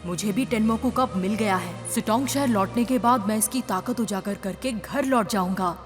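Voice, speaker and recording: A woman's voice: medium pitch, moderate pace, faint music behind, close to an everyday voice. A woman voicing a cheerful, curious young boy traveler in a Hindi cartoon dub. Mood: pleased, reflective.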